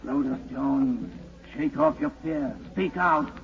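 An actor playing an ominous, taunting narrator who haunts a fugitive, incantatory and theatrical, in an old radio drama. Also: male voice, a little high, wildly swooping pitch, slow, gravelly, exaggerated voice. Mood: urgent, taunting. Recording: noisy, dull 1940s radio broadcast.

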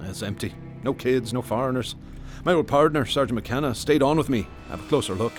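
A man's voice: mid-range voice, fairly quick, soft background music, lightly acted. A man playing a level-headed, bookish detective who tells his grim story in a radio drama, matter-of-fact and erudite. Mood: grave, recounting. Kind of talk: radio drama acting and first-person narration.

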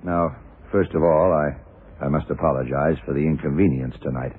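An actor playing a blunt, self-assured police detective in a 1970s radio drama, questioning deliberately and methodically. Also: male voice, rumbling low, slightly rough, phone-quality sound. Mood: brisk, in command. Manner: deliberate, probing, punctuated with yeah and right. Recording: noisy, band-limited old radio recording.

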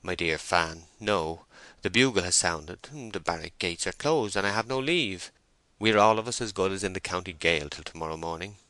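Male reader as storybook sergeant: suspicious, noncommittal, offhand, firm bright delivery, dry sound. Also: low-pitched, normal speed, no room sound. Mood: cool, evasive. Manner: guarded, dismissive, noncommittal.

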